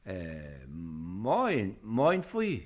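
An actor playing a forgetful, meek village office servant with a Berlin accent and a plodding, deferential manner in a drama. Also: male voice, fairly low pitch, huge pitch swings, very slow, darkish tone, full character voice. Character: slow-witted, forgetful, meek village constable's clerk.

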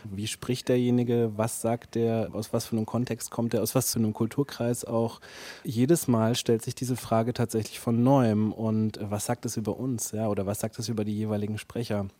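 Mellow, thoughtful man in a dry recording. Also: fairly low pitch, level tone, normal speed, dry recording. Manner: thoughtful, hedging, searching phrasing. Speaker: interview guest, radio play author. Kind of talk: reflective interview talk.

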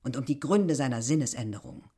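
A middle-aged innkeeper from a village, a proud and wistful woman. She speaks with grave, deliberate insistence in the manner of a literary radio drama, devoted to a love long past. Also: a bass voice, animated, warm tone, breathy edge, exaggerated voice. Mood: melancholy, reminiscing.

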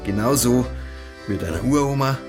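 A man's voice: mid-range voice, moderate pace, music in the background, close to an everyday voice. An actor playing an insistent, scheming young village man in a radio drama, explaining emphatically with a Bavarian accent. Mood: emphatic explaining.

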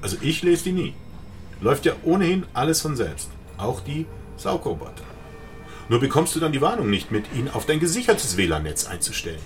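An actor playing a chatty, knowing police colleague in a radio drama, explaining things wryly. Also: male voice, mid-range voice, very animated, brisk, slight background noise, close to an everyday voice. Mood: amused lecturing. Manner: wry, explanatory.

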